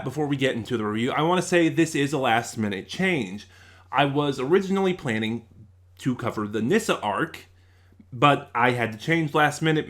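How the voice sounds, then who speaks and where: A man's voice: mid-range voice, a bit bright. A man reviewing in a casual, unscripted way, often rephrasing and searching for words. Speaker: YouTube reviewer.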